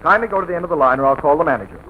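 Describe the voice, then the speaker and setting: A man's voice: on the high side, very animated, racing. A man playing a bossy, curt, formal theater usher in a 1940s radio sitcom. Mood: stern. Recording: muffled, noisy vintage radio recording.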